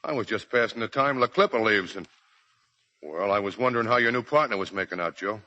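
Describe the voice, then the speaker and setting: An actor playing a touchy, cocky ex-Marine civilian with tough, slangy talk in a 1940s radio drama. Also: male voice, on the low side, expressive, quick pace, bright, forceful tone, muffled recording. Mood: irritated, defensive.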